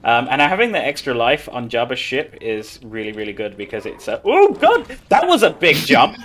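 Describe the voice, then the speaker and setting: A man's voice: medium pitch, huge pitch swings, normal speed, a bit bright. Casual man talking through his speedrun. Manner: casual, chatty. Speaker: speedrunner playing the game. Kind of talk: live explanation while playing.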